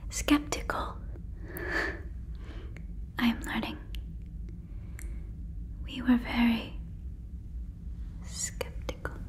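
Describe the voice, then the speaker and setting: A woman voicing an earnest, protective alien in an ASMR roleplay, whispering breathily close to a microphone with halting, stilted phrasing. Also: on the high side, little pitch movement. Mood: earnest, indignant. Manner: halting, stilted phrasing like a learner of human words. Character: earnest female alien, protective, gently convinced listener is a cow. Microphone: fluffy microphone, very close.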